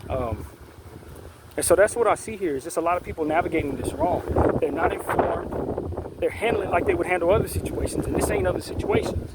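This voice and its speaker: A man giving a measured, deliberate opinion monologue as an online commentator, stressing words emphatically and often restarting his sentences. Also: a little high, heavy background noise.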